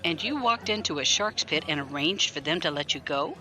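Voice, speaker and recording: A man's voice: on the high side, expressive, brisk, sharp tone, faint music behind. A man acting a probing, blunt military defense lawyer in a science fiction audio drama, pointed, articulate and wryly skeptical. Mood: curious, wry.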